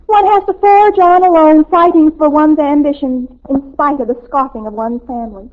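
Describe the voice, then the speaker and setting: A woman's voice: sits high, moderate pace, close to an everyday voice. A woman playing a dramatic, starstruck, self-important American teenage girl in a 1940s radio sitcom, gushing and theatrical. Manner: gushing, theatrical, grandly affronted. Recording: tinny old radio recording.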